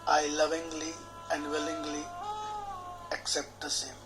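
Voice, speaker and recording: A man, a healer guiding a tapping session in a slow, measured, soothing way, speaking repeated affirmations phrase by phrase. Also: a little high, level tone, low-quality recording. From India. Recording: clear background music under the voice.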